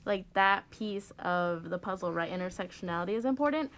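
A woman's voice: on the low side, expressive, brisk, a bit bright. A young woman, a guest on a student podcast, chatting casually with lots of "like" and "um" and an easy laugh. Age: young adult. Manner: casual, heavy use of "like" and "um", laughs easily. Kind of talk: casual panel discussion.

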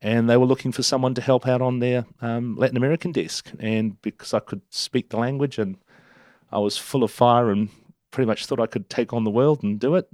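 A middle-aged man with a New Zealand accent, a guest telling stories in a relaxed, self-deprecating way with many restarts. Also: medium pitch, no room sound, studio quality. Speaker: interview guest. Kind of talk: relaxed storytelling.

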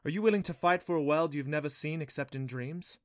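A man voicing a kindly, solemn female magical being in a storybook, measured and assured. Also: on the high side, no echo, studio quality, voice pushed up, lightly acted.

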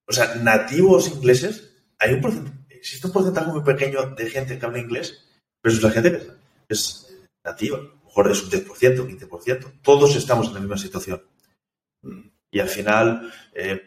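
Low-voiced man, echoey and distant. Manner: thoughtful, hesitant, many restarts and fillers. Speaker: software developer guest. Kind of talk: casual interview conversation. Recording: echoey room, distant from mic.

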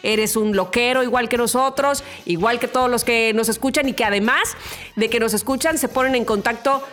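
A woman's voice: fairly high pitch, a little fast, fairly bright tone, faint music behind. A woman from Mexico, hosting a podcast in a chatty, cheerful manner. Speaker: podcast host. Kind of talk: casual chat.

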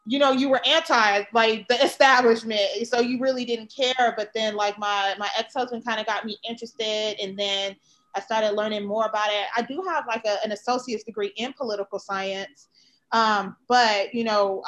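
An American woman from Chicago chatting casually, with an expressive, informal way of talking that leans on slang and swearing. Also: on the high side, normal speed, rough recording. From Chicago, United States. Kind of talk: casual chat.

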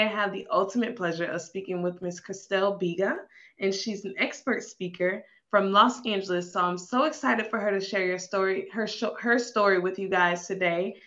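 A woman's voice: medium pitch, pushed and bright, muffled recording. A woman hosting a podcast interview, upbeat and encouraging as she introduces her guest and asks questions. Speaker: podcast host.

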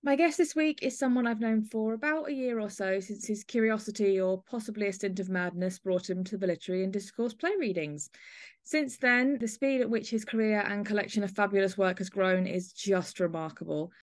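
A woman's voice: medium pitch, fairly quick, no echo. A woman hosting a conversational interview, speaking in an effusive, complimentary way. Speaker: podcast host interviewing a playwright.

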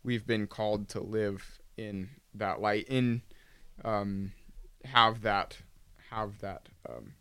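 A man co-hosting a faith podcast, calmly chatting and reading passages aloud. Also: on the low side, takes it slowly, smooth, no echo. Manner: calm, reads passages aloud steadily. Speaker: podcast co-host. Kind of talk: casual chat and scripture reading.

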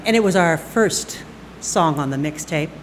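A woman in her sixties telling a personal story on stage into a microphone, conversational and halting, with fillers and bursts of laughter. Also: deep voice, animated, slight background noise. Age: 60s. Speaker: storyteller at a live storytelling night. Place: stage at a live event.

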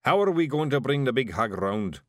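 A man voicing a gruff, scornful fairy warrior in an audiobook. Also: mid-range voice, sing-song, moderate pace, a bit bright, no echo, studio quality. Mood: practical. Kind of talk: audiobook character voice.

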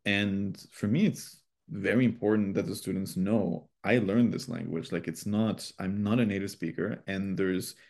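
A young man with a low, gravelly voice talks in a relaxed, thoughtful way. He often pauses to find his words. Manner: reflective, thoughtful, speaks with pauses. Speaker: podcast guest, language educator. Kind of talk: casual conversation.

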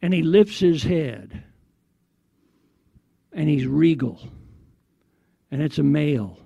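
A man preaching in a declamatory way, recounting a prophetic vision in short phrases with deliberate pauses. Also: on the high side, animated, vocal fry, dark tone, husky, no echo, lo-fi sound. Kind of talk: prophetic sermon narration.

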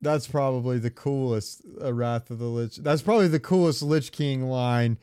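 A man's voice: medium pitch, moderate pace, dark tone, clean tone, no echo. Man chatting casually, very dry sound. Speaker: podcast co-host. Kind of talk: casual chat about games.

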